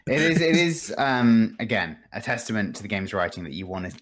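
A man with a fairly low voice chats as a guest on a video game podcast. His delivery is casual and conversational, with a dry, tongue-in-cheek sense of humour. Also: big pitch swings, fairly quick, a bit bright. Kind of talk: casual podcast chat about video games.

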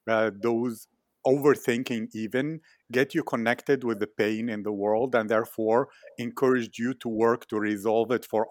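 A man's voice: fairly low pitch, no room sound, clean studio sound. A man interviewing in a reflective conversational style, asking long, winding, analytical questions.

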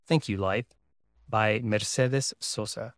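A man narrating the story and asking questions in a measured, plain delivery. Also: fairly low pitch, dry recording, studio quality. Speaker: host and narrator. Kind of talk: scripted narration and interview questions.

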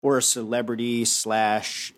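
A man's voice: mid-range voice, flat delivery, unhurried. A young adult man hosting a podcast, summing up his guest's points in long winding sentences before asking questions. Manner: long, summarizing questions with restarts. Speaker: podcast host. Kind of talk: casual interview chat.

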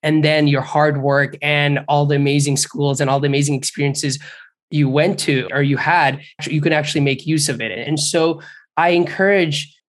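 A man's voice: on the high side, steady tone, moderate pace. A young man from Bangladesh hosting a podcast interview, speaking earnestly and at length, appreciative of his guest. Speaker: podcast host.

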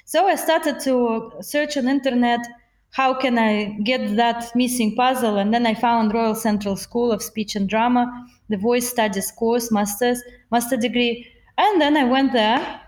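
A woman's voice: on the high side, a little slow, roomy sound. A woman with a Russian accent, a voice coach talking earnestly in an interview and laughing often. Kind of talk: podcast interview.

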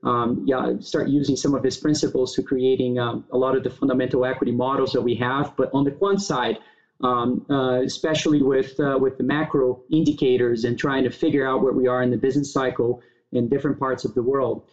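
Brazilian guy in his 30s, monotone, halting, muffled lo-fi sound. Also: medium pitch. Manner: halting, many fillers and restarts. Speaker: guest. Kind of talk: interview answers. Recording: dull lo-fi sound.

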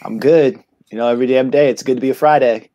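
A man's voice: mid-range voice, fairly even intonation, fast talker, warm tone. A man in his late thirties from Michigan, a guest on a podcast, talking in an easygoing, rambling way with many fillers. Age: late 30s. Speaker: guest, insurance agent. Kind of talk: casual interview chat.

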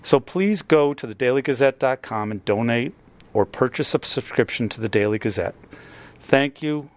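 Measured man reading a scripted appeal. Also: medium pitch, lively intonation. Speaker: newspaper editor. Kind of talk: scripted announcement read.

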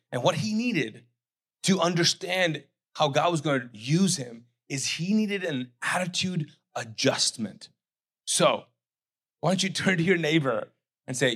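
A man's voice: fairly high pitch, lively intonation, a little slow, fairly bright tone, breathy edge. A middle-aged man, raised in Kuwait with English not his first language, preaching a sermon in a conversational way, acting out the voices of imagined people and asking the crowd to fill in his words. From Pakistani family, raised in Kuwait. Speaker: pastor.

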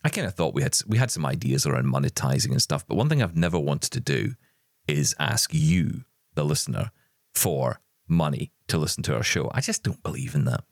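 A deep-voiced man co-hosts a podcast in relaxed, rambling chat. His voice swings freely up and down as he talks, upbeat and easygoing. The sound is close and dry, with no room around it. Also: a little fast, no echo. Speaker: podcast co-host.

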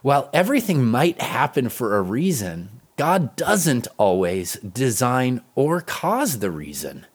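A man delivers a scripted devotional in an earnest, pastoral way. His mid-pitched voice moves up and down freely, and he leans on key words with deliberate pauses. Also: very animated, husky. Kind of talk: devotional reading, scripted narration.

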